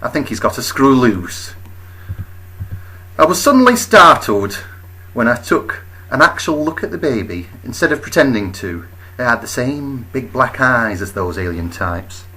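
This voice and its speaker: A man narrating a comic first-person story as a storyteller, expressive, with wry, deadpan understatement. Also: mid-range voice, moderate pace.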